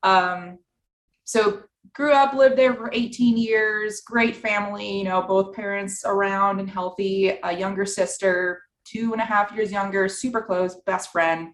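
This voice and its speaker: A woman's voice: medium pitch, a little slow, reverberant, low-quality recording. A woman from New York, a podcast guest telling her story cheerfully. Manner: bright, cheerful, relaxed storytelling. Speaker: guest, real estate investor. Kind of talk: casual interview.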